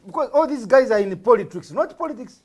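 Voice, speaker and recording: A man's voice: extremely high pitch, lively intonation, fast, breathy edge. A man, a panel commentator speaking bluntly and laughing as he argues. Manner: blunt, laughs while talking. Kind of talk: talk show debate.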